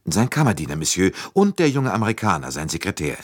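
Guy doing a nervous french train conductor for an audiobook, very polite, husky, pitch jumping around. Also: very animated, quick pace, no echo, clean studio sound. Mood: deferential, explaining. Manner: anxious, deferential, eager to justify himself. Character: nervous, anxious middle-aged French sleeping-car conductor, deferential. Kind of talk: audiobook character dialogue.

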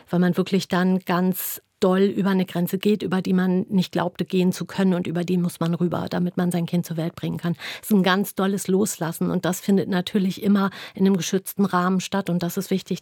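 Woman with an even, down-to-earth delivery. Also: lowish voice, no echo, studio quality. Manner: down-to-earth, matter-of-fact. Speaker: interview guest, home-birth midwife. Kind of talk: reflective interview answers.

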